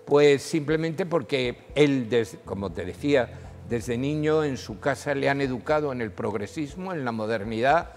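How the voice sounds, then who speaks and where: Hombre mayor de voz rasposa, narrador de historia. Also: male voice, moderate pace, long reverb tail. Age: older. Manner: animated storytelling, rises in pitch when emphasizing. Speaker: historian guest. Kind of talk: studio history discussion. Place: TV studio. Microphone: lapel.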